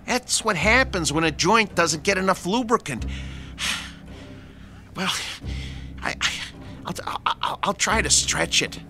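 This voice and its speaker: A man's voice: high-pitched, expressive, slow pace, long pauses, bright, forceful tone, full character voice. An American actor in an audio drama playing a worried, exasperated male mechanic who tends a worn-out robot, fretful and protesting. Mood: dejected. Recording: music under the voice.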